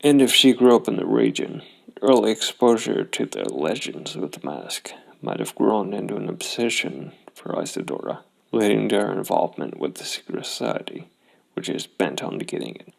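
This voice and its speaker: A man's voice: fairly low pitch, sing-song, moderate pace, gravelly, rough recording. A man narrating a solo tabletop role-playing game, thinking aloud and reasoning things out. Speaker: solo RPG player and host.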